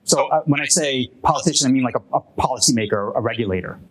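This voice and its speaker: A man presenting his research at a workshop and answering audience questions in a halting, self-correcting way, with frequent fillers and restarts. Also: medium pitch, poor audio. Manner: hesitant, many restarts and fillers. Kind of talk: academic Q&A at a workshop. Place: conference room.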